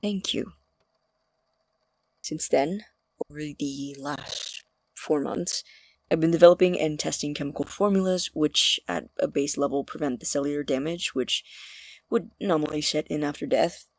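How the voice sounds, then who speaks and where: An actor playing an evasive, pedantic young student experimenter, explaining in halting, formal phrases in a naturalistic audio drama. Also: medium pitch, no echo, lightly acted. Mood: earnest, explanatory.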